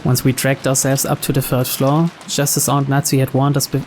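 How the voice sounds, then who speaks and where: A man reading scripted visual novel narration aloud in a measured storyteller's manner. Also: mid-range voice, monotone, a little mellow, a little hiss or hum.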